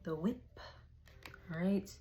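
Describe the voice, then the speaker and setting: A woman's voice: fairly low pitch, drawn-out words, mellow, a little hiss or hum. A woman, giving a casual, slangy tarot reading and thinking aloud as she goes. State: hoarse. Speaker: tarot reader.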